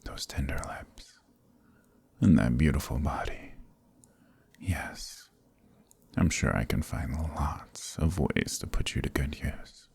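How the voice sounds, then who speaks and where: Sadistic vampire lord, ASMR roleplay: possessive, silky menace, extremely breathy and husky, dark-toned. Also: male voice, extremely low pitch, expressive, no room sound, exaggerated voice. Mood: seductive, possessive. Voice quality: breathy, gravelly. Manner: slow, menacing, mockingly tender purr. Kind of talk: ASMR roleplay audio drama.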